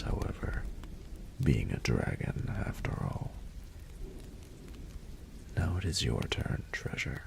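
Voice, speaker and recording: Regal dragon mentor, heavy vocal fry, murmured and swooping intonation, patient, eloquent, romantic audio drama, faint rainy noise behind. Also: male voice, heavily acted. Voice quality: very deep, gravelly, breathy murmur. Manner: formal, unhurried, eloquent, courtly. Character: regal male dragon ruler, doting, patient, courtly mentor. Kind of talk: romantic audio roleplay addressed to the listener.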